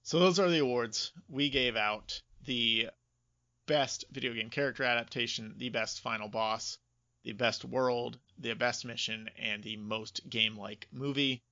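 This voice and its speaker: A man's voice: medium pitch, fairly even intonation, measured pace, bright, forceful tone, no room sound. A man co-hosting a casual podcast, giving emphatic, informal opinions in a close but slightly dull recording. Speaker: podcast co-host. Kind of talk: casual chat about video game movies.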